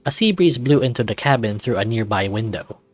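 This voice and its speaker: A man's voice: on the low side, expressive, fairly quick. A man reading a visual novel aloud as its player, mixing scripted narration with casual asides.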